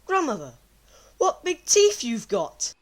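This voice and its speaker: Woman doing a trusting little girl, lively, sing-song, a bit high. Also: normal speed. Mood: innocent.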